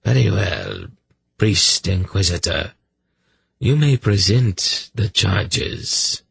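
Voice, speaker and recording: Man acting hollow, deep, deathly high priest. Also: huge pitch swings, creaky, a bit bright, husky, no echo, full character voice. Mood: cool command. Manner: slow, non-committal, authoritative. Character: cold, aged, corpse-like high priest, commanding and sardonic.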